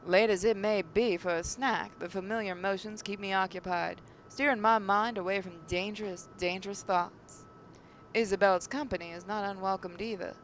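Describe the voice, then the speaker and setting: Woman reading aloud over clear background noise. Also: medium pitch, steady tone, moderate pace. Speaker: gaming channel host. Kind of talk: reading visual novel narration aloud.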